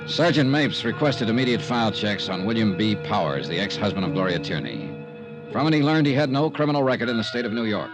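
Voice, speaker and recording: A man's voice: fairly low pitch, quick pace, lightly acted, low-quality recording. An American man playing a cool, tough insurance investigator in a 1950s radio drama, terse and matter-of-fact. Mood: narrating. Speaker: lead actor and narrator. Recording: vintage radio broadcast with music.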